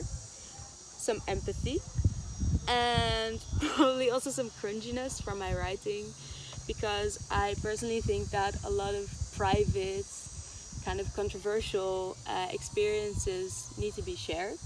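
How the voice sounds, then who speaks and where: A woman's voice: mid-range voice, fairly even intonation, a little slow. A nineteen-year-old woman student who grew up in Tanzania, introducing herself. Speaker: university student, columnist nominee. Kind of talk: self-introduction to camera. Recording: noisy outdoor ambience with insects.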